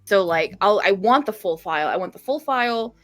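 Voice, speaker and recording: A woman's voice: mid-range voice, lively intonation, a little fast, a bit bright, faint music behind. A woman co-hosting a livestream, chatting casually in an emphatic, slangy way and often repeating herself for emphasis. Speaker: co-host on a visual novel livestream. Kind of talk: casual chat.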